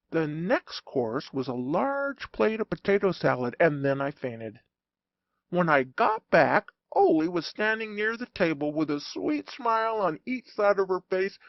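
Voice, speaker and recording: A man narrating a humorous audiobook in an animated, wry storytelling manner. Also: sits high, vocal fry, dry recording, muffled recording.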